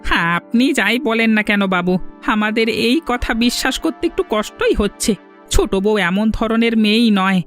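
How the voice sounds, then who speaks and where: A man's voice: sits high, animated, moderate pace, a bit bright. A man voicing an outspoken village man in a cartoon, argumentative and emphatic. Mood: defiant. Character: outspoken village man defending a wronged young widow. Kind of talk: cartoon voice acting. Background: faint music.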